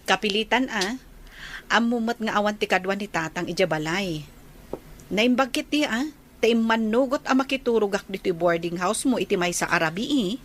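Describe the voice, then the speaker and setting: A woman's voice: medium pitch, normal speed, a bit bright, a little hiss or hum, natural voice. A woman playing a friendly, easygoing older sister figure in an Ilocano radio drama.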